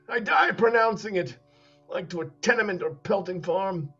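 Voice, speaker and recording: A man in his forties playing a dying old English duke in a Shakespeare reading over a video call, declaiming slowly and with passion. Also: high-pitched, a bit bright, husky, faint music behind, heavily acted. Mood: grave. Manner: declamatory, slow and impassioned. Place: home room. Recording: muffled video call audio.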